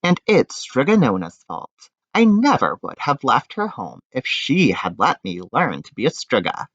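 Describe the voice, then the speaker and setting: A man's voice: medium pitch, huge pitch swings, measured pace, a bit bright, full character voice. A man voicing a foolish, complaining big young man in a storybook read-aloud. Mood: aggrieved. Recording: roomy, slightly dull sound.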